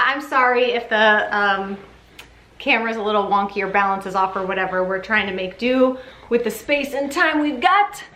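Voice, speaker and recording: A woman with a medium-pitched voice chats informally as a parenting vlogger. She rambles along in a giggly, conversational way. The sound is noticeably roomy, recorded in a bedroom at home. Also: fairly bright tone, a little hiss or hum. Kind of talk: casual chatty vlog. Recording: echoey room.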